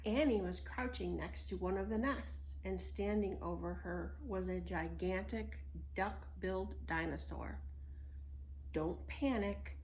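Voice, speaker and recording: A woman narrating a children's story in an expressive read-aloud style. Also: medium pitch, measured pace, few pauses, slight background noise, low-quality recording. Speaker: storybook reader.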